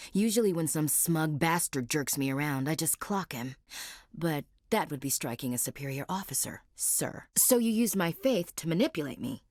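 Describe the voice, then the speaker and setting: A young female marine soldier, talks in blunt, dry, teasing lines. Her voice sits low for a woman. It is recorded close and dry in a studio, with no room sound. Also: no echo. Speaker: soldier character. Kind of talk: game dialogue lines. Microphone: studio mic.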